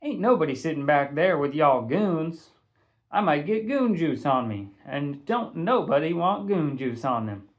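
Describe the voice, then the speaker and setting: Man playing a sassy teenage girl, pitched up. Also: big pitch swings, unhurried. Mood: sassy, defiant.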